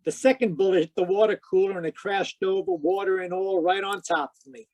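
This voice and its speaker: Male actor sardonic detective narrator, high for a man, 1940s radio mystery style, no room sound. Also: fairly even intonation, fairly quick, darkish tone, no echo, lightly acted, poor audio. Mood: wry narrating. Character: wisecracking private detective, sardonic and unflappable. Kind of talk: old-time radio detective first-person narration.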